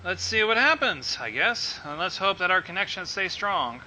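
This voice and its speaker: A man joining a casual reading as guest, reacting excitably with laughs and commentary, heard over a lo-fi voice call. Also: high voice, normal speed. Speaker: co-host guest.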